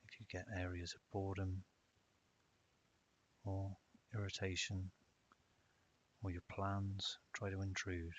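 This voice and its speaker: A man narrating a guided meditation in a calm, measured way with long pauses. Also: deep voice. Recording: dull lo-fi audio with hiss.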